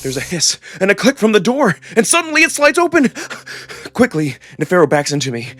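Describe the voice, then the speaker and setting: Expressive, high-voiced male story narrator. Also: fairly quick, slight background noise. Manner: theatrical, expressive. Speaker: narrator and voice actor. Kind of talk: dramatic scripted first-person narration.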